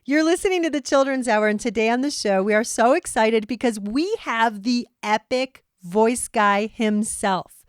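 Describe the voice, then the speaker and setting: A woman's voice: on the high side, normal speed, smooth, no echo, studio quality. Upbeat woman hosting kids' radio. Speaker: radio host.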